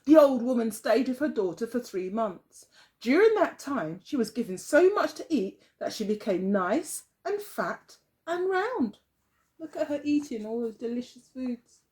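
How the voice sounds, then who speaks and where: A woman's voice: a little high, very animated, measured pace, darkish tone. A woman teacher telling a story expressively to young children, phrasing carefully and putting questions to them. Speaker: early years teacher, storyteller.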